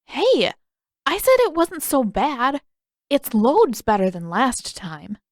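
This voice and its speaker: A woman's voice: high voice, wildly swooping pitch, slow, no echo, pitched above the performer's own voice, full character voice. Lady doing a nice easygoing kid boy in a story, mild and tactful, dry close audio. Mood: placating. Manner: mild, placating. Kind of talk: audiobook character voice.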